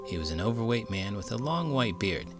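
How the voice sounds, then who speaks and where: A man narrates a children's story as a read-aloud host. His voice is fairly low and very animated, with theatrical expression. Music plays behind him. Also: brisk.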